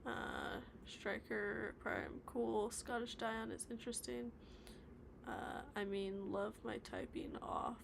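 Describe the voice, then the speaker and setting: A woman's voice: mid-range voice, very animated, slow, creaky, background noise. A woman live-streaming her stop-motion animation work, chatting casually, reading comments and rambling with mumbled asides. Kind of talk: casual livestream chat reading viewer comments. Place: home workspace, animating on the floor.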